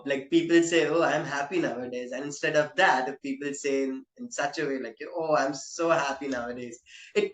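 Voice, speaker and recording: Echoey, halting podcast host. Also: mid-range voice. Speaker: podcast host interviewing experts. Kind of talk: conversational interview. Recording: roomy, echoing room sound.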